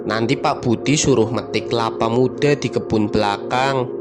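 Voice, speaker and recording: A man voicing a relaxed, easygoing father in a cartoon. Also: mid-range voice, moderate pace, natural voice. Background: music.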